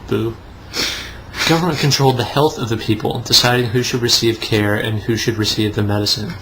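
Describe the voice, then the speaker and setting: A man's voice: mid-range voice, steady tone, fairly quick, fairly bright tone, slight background noise, rough recording. A young man reading aloud mock-seriously, with wry, sarcastic asides. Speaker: co-host.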